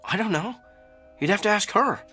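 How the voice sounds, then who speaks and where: A man's voice: sits high, expressive, normal speed, sharp tone, faint music behind. An actor playing a troubled, sardonic company man in therapy in an audio drama, with a curt, defensive delivery. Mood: uneasy, resigned.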